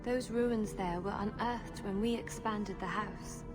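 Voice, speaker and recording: An actress playing a proud, contrite noblewoman in a video game, formal and measured, set on making amends. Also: medium pitch, over loud music, close to an everyday voice. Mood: regretful. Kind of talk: video game cutscene acting.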